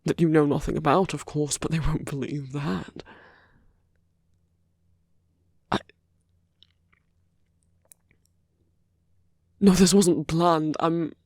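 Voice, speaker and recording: A man playing a protective, quietly possessive villain in an intimate ASMR roleplay, speaking in a hushed, halting, deliberate way with murmured asides. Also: on the high side, steady tone, breathy edge, no room sound, studio quality. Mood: tense, persuasive. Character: smooth-talking male villain, protective, coaxing, quietly possessive.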